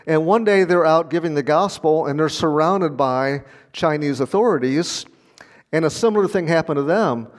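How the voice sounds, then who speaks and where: A man's voice: fairly high pitch, big-room sound. A man preaching a sermon in an earnest, conversational way, with small laughs as he talks. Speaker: pastor.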